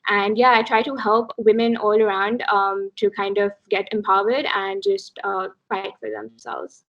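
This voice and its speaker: A young woman from Pakistan introducing herself as a student candidate, halting and full of fillers. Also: medium pitch, flat delivery, highs cut off. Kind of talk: casual self-introduction.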